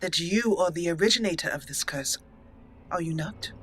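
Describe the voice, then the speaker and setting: A woman's voice: on the low side, animated, normal speed, a bit bright, slight background noise. A woman voicing her young female elf mage hero, speaking firmly and earnestly.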